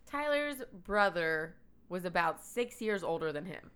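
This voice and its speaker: Podcast co-host, woman, stuffy nose, bright tense tone, slightly low pitch, laughs a lot. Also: expressive, a little slow, clear, resonant tone. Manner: chatty, laughs often. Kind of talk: casual chat, telling a true crime story.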